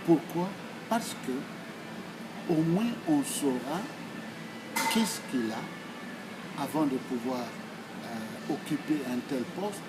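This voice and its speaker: A man in his sixties with a Congolese accent, giving formal answers in a deliberate, emphatic, oratorical way, phrase by phrase. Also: on the high side, expressive, very noisy. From Democratic Republic of the Congo. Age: 60s. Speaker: speaker answering questions.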